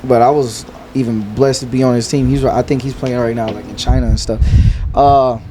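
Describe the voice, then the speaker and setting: A man's voice: mid-range voice, fairly even intonation, a little fast, dark tone, a little hiss or hum. A man from California with an American accent, chatting casually as a guest in playful, teasing, slangy talk full of repeated tag phrases. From California, United States. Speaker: interview guest, content creator.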